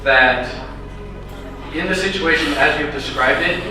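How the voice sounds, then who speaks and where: A man's voice: fairly high pitch, measured pace, music in the background. A man making a formal, argumentative comment from the audience at a public meeting. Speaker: military veteran questioning the official. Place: community center meeting room. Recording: echoey hall, far from the mic.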